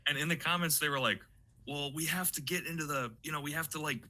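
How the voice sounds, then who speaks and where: A man's voice: on the high side, fast talker, sharp tone. A man being interviewed as a guest, telling anecdotes in a casual, sweary, rambling way. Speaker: interview guest, audio drama creator.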